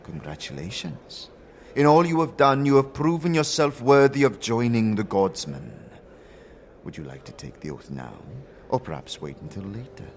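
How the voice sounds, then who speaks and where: A man voicing a solemn senior guildsman in a fantasy audio drama, measured and ceremonial in his approval. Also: medium pitch, sing-song, a little hiss or hum, lightly acted. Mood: solemn, approving. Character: Keldor, solemn senior guildsman, formal and approving elder male.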